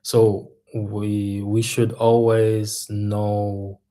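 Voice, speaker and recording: A man talks slowly and unhurriedly in a low, mellow voice. He speaks as a coach giving a reflective monologue and often pauses to find the right word. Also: completely flat delivery. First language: German. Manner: thoughtful, searching for words. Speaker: mindset coach and music artist.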